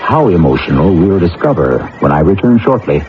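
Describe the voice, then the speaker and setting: A man's voice: low-pitched, very animated, moderate pace, slight background noise. A man hosting a radio mystery drama, delivering scripted suspense narration between the acts. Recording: tinny vintage radio recording, loud music. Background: loud music.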